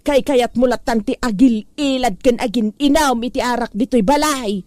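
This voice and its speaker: A woman with an Ilocano accent playing an impatient, sharp-tongued wife who nags and scolds in a comedy audio drama. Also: on the high side, moderate pace, dry recording, lightly acted. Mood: exasperated. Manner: scolding, interrupting.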